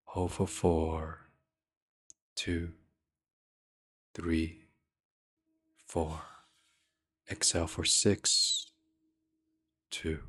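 An extremely unhurried man speaks in a soft, breathy near-whisper, guiding listeners toward sleep. His delivery stays flat and even, with long gentle pauses in an ASMR meditation style. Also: rumbling low. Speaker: meditation podcast host.

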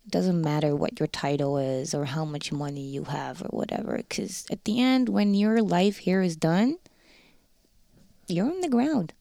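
A woman's voice: lowish voice, measured pace, creaky, dark tone, no echo, clean studio sound. A woman from the Netherlands with a Dutch accent, Dutch as her first language, speaking frankly as an interview guest in casual chat.

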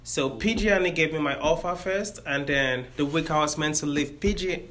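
Nigerian man, bright, explaining plainly. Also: fairly high pitch, normal speed. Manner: friendly, explains step by step with self-corrections. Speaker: engineer giving a career talk. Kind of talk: informal explanatory talk to students.

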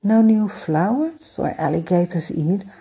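A woman's voice: on the low side, lively intonation, measured pace, creaky, mellow, husky, exaggerated voice. Eager young boy prince, voiced by a woman. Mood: eager, pleading. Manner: eager, pleading, stammering. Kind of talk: storybook read-aloud.

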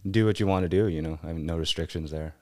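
A man's voice: sits low, racing, darkish tone, dry recording, clean studio sound. A young man from Pasadena, a guest on a casual podcast, talking in an easygoing, rambling way with lots of fillers. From Pasadena, California. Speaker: podcast guest, van builder.

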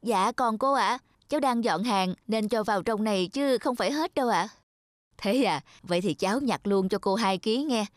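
A woman voicing a polite, neighbourly market woman in an animated moral tale, courteous and conversational. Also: medium pitch, moderate pace, close to an everyday voice. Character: market women and a young orange seller, polite, neighbourly. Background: faint music.

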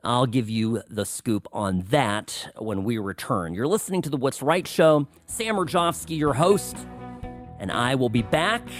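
Animated talk radio host, dry studio sound. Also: male voice, mid-range voice, measured pace, no room sound, soft background music. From United States. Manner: animated, sarcastic, confiding asides. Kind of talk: political talk radio monologue.

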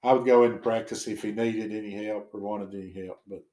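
Retired coach guy, southwest virginia twang, lowish voice, talks plain and short, echoey room. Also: steady tone, fast, dark tone. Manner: plain-spoken, few words, short answers. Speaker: retired basketball coach, now school principal. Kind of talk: casual podcast interview.

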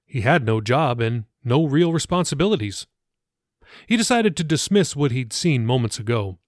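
A man's voice: medium pitch, no room sound, clean studio sound. A man reading his own novel as scripted audiobook narration for a podcast.

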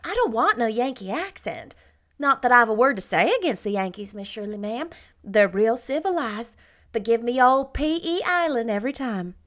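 A woman's voice: a little high, sing-song, normal speed, fairly bright tone. A woman voicing an eager, anxious young maid who talks in a folksy, rustic way.

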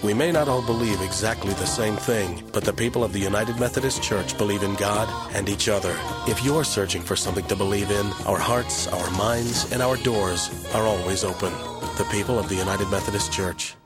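Low voiced guy announcer doing a church ad, music loud behind him. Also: fairly quick. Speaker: advert announcer. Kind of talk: scripted church advert narration. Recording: loud music bed under voice.

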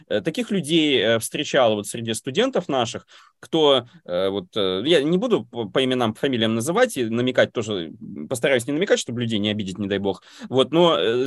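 Animated man talking with lots of hesitations. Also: mid-range voice, no echo, clean studio sound. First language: Russian. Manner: animated, hesitant with many filler sounds. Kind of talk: casual conversation.

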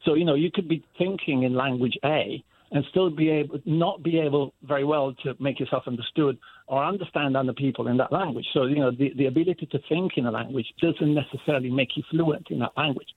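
Measured male caller on a phone line. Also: mid-range voice, slightly rough, no room sound. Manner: measured and explanatory, a bit halting.

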